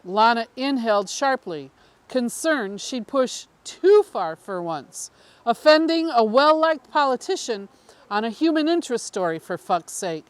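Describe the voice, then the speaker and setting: A woman narrates a piece of fiction in a high, lively voice. Her pitch moves around freely, and she takes the reading at an unhurried pace. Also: dry recording. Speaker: story reader.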